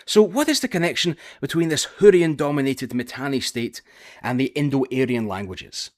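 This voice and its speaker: A man's voice: medium pitch, expressive, normal speed. A man with a Scottish accent, presenting a scripted history video in a careful, explanatory way. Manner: careful, explanatory, with occasional filler "uh". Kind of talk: scripted educational narration.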